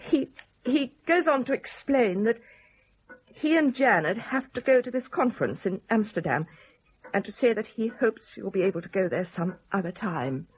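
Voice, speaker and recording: A middle-aged British daughter in a radio play, her voice husky and fairly high. She is resentful and sardonic, firing pointed questions with long-held bitterness. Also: expressive, normal speed, poor audio. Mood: flat, reading aloud. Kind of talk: radio drama acting.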